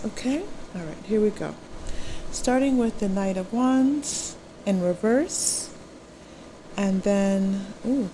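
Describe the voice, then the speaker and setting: A woman reads tarot for her viewers in a casual, reassuring way. She takes her time, pausing to reflect and restart as she thinks. A faint hum of air conditioning runs behind her voice. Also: mid-range voice, darkish tone, poor audio. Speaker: tarot reader, channel host. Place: temporary indoor recording spot. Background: air conditioning running.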